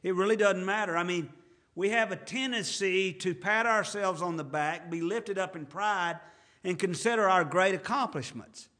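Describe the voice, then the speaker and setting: A man's voice: high-pitched, normal speed, pushed and bright. A man in his fifties preaching a sermon in an earnest, conversational way with folksy asides. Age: 50s. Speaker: pastor.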